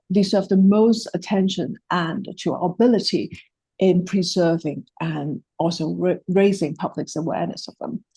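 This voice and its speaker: Hong kong accent woman giving a talk, lots of ums and restarts, low-ish warm husky voice, dry sound. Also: no echo. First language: Cantonese. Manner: hesitant, many fillers and restarts. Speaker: presenter of a heritage project. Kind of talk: presentation talk.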